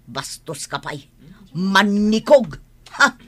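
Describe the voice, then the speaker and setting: A woman's voice: medium pitch, sing-song, takes it slowly, fairly bright tone, full character voice. A woman with an Ilocano accent playing a bitter, scolding grown daughter in a radio drama. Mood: indignant.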